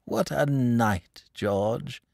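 Storybook dragon, played by a man: idle, self-satisfied, blustery drawl, swooping pitch, gravelly edge. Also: wildly swooping pitch, measured pace, no echo, studio quality, heavily acted. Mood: drowsy. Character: lazy, jovial, self-satisfied sleepy male dragon. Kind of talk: storybook character voice.

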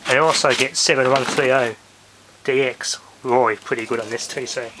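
Aussie guy chatting casually while he works, kinda halting, noisy handheld camera sound. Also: mid-range voice. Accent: Australian. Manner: rambling, halting, trailing off. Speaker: hobbyist radio vlogger. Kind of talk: casual hands-on demonstration talk. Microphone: handheld camera's built-in microphone.

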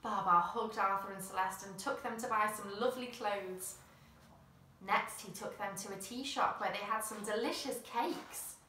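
A woman's voice: medium pitch, normal speed, bright, forceful tone, a little hiss or hum. A woman, reading a children's picture book expressively in a small room. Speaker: storyteller. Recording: roomy, slightly distant mic.

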